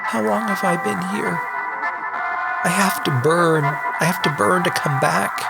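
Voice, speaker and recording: A man's voice: high voice, measured pace, vocal fry, rough recording. Trapped survivor, male actor, audio drama: desperate and exasperated, dragging delivery over a music bed. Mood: haunted despair. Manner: anguished, exasperated, muttering to himself. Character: desperate, foul-mouthed male wasteland survivor trapped in a loop.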